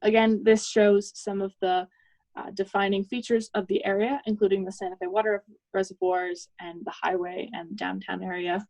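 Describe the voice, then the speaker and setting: A woman's voice: mid-range voice, level tone, moderate pace, a little mellow, no echo, poor audio. Young woman presenting, close dry sound. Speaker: student presenter. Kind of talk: technical project presentation.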